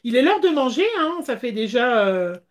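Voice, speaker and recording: A woman, chatting casually as the host of a craft livestream, with hesitant pauses. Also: a little high, very animated. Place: small indoor craft room.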